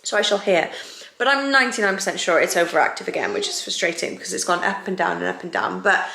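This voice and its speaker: A young Welsh mother chatting for a home vlog, lively and a little frantic, laughing often. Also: on the low side, quick pace, gravelly, a little hiss or hum. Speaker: vlogger, new mother. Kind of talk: casual home vlog chat. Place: home, indoors. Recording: echoey home room.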